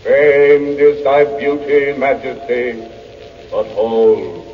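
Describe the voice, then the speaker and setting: An actor playing a solemn magic mirror spirit in a 1930s radio drama, intoning in archaic speech. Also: male voice, mid-range voice, fairly even intonation, very slow. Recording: tinny vintage radio, distant, long hall reverb.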